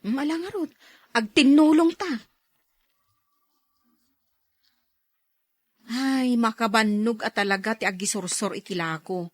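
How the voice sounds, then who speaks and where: A woman's voice: fairly high pitch, slightly rough, no room sound. A woman playing a modest, frank working woman in her thirties in a radio drama, with an Ilocano accent. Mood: practical. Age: 30s. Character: modest, hardworking 31-year-old woman, bashful but frank.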